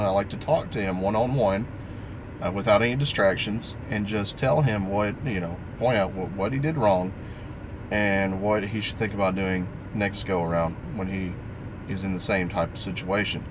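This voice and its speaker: A middle-aged man talking to his vlog audience in a halting, reflective, earnest way. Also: lowish voice, noisy background. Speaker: vlogger. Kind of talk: personal vlog talk, live stream.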